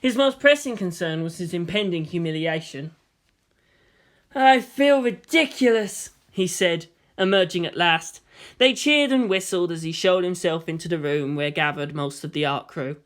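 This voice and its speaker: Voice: mid-range voice, normal speed, fairly bright tone. A narrator reading a scripted story aloud as in an audiobook.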